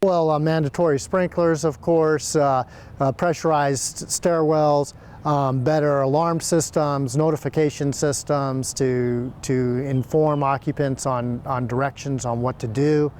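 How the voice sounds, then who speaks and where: A man speaking as a fire chief in an unscripted interview, halting as he searches for words. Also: fairly high pitch, steady tone, darkish tone, a little hiss or hum.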